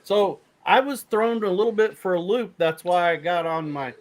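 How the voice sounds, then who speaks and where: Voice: mid-range voice, moderate pace, a little hiss or hum, rough recording. A chatty speaker in a casual group video chat, talking in a reassuring way. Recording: crowd chatter behind.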